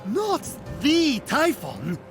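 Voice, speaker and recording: Young game hero, earnest n wide-eyed, highish voice that swings a lot, music under it. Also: male voice, huge pitch swings, drawn-out words, exaggerated voice. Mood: alarmed. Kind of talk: dramatic video game character acting.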